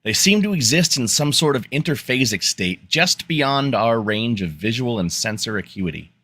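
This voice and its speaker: An earnest starship engineer, acted by a man in a sci-fi radio play. The voice is forward and bright with animated pitch, recorded dry and close. Technical lines come out practical and matter-of-fact. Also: moderate pace, breathy edge, no echo. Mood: earnest, businesslike. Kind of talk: podcast live script reading.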